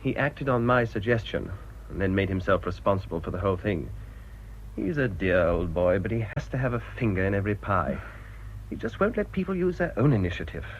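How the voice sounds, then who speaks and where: An actor playing a bitter English village priest in a radio drama, with a dry, grumbling way of talking. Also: male voice, on the low side, animated, a little fast. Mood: discontented. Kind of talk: British radio drama acting. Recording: dull, noisy old radio drama recording.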